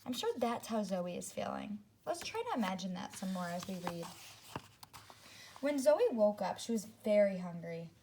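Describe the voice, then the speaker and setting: A woman teacher reading a story aloud to young children, speaking expressively and deliberately, with asides to her second-grade listeners. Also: mid-range voice, big pitch swings, warm tone, slight background noise.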